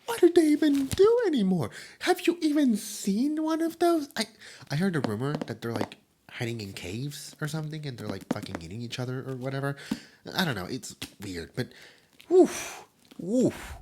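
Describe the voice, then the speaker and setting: A man's voice: a little high, sing-song, moderate pace, noisy background. A man voicing a nervous, lonely demon host who stammers and giggles through an audio roleplay. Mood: excited, chatty.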